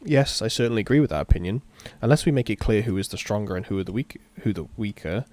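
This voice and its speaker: A man's voice: lowish voice, lively intonation, fast, darkish tone, lightly acted. Man doing an arrogant, sardonic summoned servant. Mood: sardonic, challenging. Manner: mocking, condescending.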